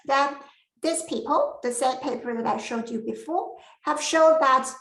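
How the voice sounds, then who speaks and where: A woman whose first language is not English, giving an academic masterclass presentation with careful explanations and frequent pauses. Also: high voice, fairly bright tone, reverberant, poor audio. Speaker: lecturer.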